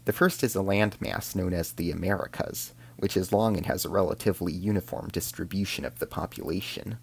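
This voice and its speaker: A man's voice: low-pitched, fairly quick, vocal fry, a little hiss or hum. A man narrating a scripted science fiction drama in the first person as an alien soldier, in a solemn, formal storytelling manner.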